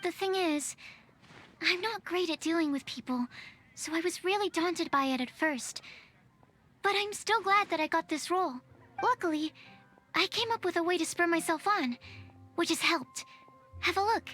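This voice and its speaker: A woman's voice: very high-pitched, moderate pace, long pauses, a bit bright. A woman playing a shy, bookish young female alchemist in a video game, hesitant and precise like a scientist. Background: game music.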